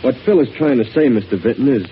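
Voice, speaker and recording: A man's voice: mid-range voice, lively intonation, quick pace, close to an everyday voice. An actor playing an uneasy male henchman in a 1930s radio drama, with slangy working-class talk. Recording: dull old radio broadcast with hiss.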